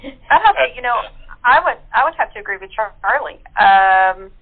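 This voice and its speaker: A woman's voice: on the high side, lively intonation, moderate pace. A woman chatting casually as a guest actor over a tinny phone connection. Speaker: voice actor in an audio theatre group. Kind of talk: casual chat. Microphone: phone or internet call. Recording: tinny, narrow phone line.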